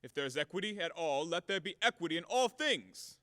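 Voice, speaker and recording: An actor playing a brash, hot-tempered, blunt creditor in an audio play of a classic farce, with a blustering, theatrical, emphatic manner. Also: male voice, on the high side, animated, fairly quick, pushed and bright, dry recording, studio quality, in a large hall. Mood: outraged, blustering.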